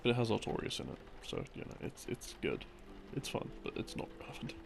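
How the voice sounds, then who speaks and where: A man telling a story in a rambling, intimate boyfriend-roleplay style, halting and musing as his thoughts trail off. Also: lowish voice, sing-song, vocal fry, background noise. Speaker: audio roleplay performer playing a boyfriend. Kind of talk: rambling, improvised intimate storytelling of game lore. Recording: music behind the voice. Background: slow game theme music.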